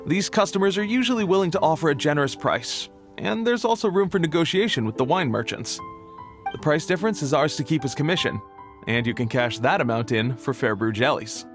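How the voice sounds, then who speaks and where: Anxious young game character, formal, earnest, slightly flustered, fairly high male voice, light music. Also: animated, brisk. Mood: grateful, earnest. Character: earnest, anxious, overly polite young logistics worker. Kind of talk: video game cutscene acting. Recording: music behind the voice.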